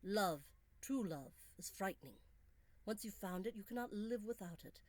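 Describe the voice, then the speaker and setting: Woman reading as romantic Victorian lord, courtly, intense, deliberate phrasing, storybook suitor, dry sound. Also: medium pitch, animated, dry recording, natural voice. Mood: grave, romantic. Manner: courtly, deliberate, sometimes hushed.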